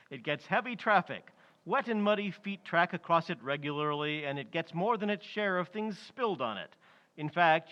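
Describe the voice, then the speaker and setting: An actor playing an enthusiastic, polished sponsor announcer, selling in 1940s radio style. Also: male voice, on the high side, a bit bright, dull audio. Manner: polished, salesmanlike. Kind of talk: 1940s radio advertising pitch.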